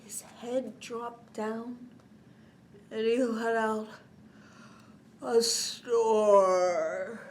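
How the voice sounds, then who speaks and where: A woman's voice: on the high side, darkish tone, slight background noise. A woman reading a children's picture book aloud expressively, in a sing-song way with chatty little asides. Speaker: children's storytime reader.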